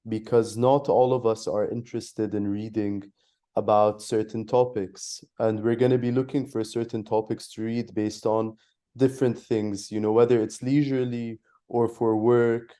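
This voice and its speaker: A nineteen-year-old man giving a measured, articulate view in a student podcast discussion. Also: mid-range voice, flat delivery, mellow. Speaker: student panelist.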